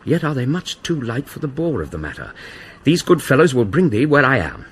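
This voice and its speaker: Dramatic prince, highly animated. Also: male voice, brisk, husky, heavily acted. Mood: urgent, secretive. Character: princely adventurer reading out his urgent letter. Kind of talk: classical Shakespearean acting.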